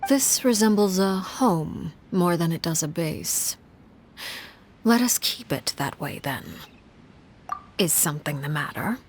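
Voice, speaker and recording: Poised, calculating leader. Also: female voice, on the low side, animated, a little hiss or hum. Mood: composed. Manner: formal, measured, precise diction. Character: poised, calculating adult woman leader, composed and formal. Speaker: video game character. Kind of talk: scripted game voice lines.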